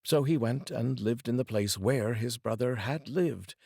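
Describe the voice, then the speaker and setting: A man's voice: mid-range voice, unhurried, gravelly, dark tone, breathy edge, no room sound, studio quality. A man narrating a folk tale as a storyteller, reading aloud expressively.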